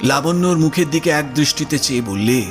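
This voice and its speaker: A man's voice: on the high side, lively intonation, fairly quick, soft background music. A man narrating a literary story expressively for an audio drama.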